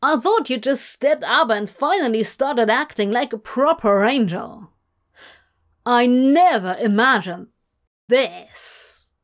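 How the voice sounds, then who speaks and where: A woman's voice: a little high, expressive, slow. A woman voicing a furious, hateful male archangel in a story reading, growling and mocking as he threatens. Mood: menacing. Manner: growling, snarling, roaring. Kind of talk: dramatic audiobook-style fiction reading.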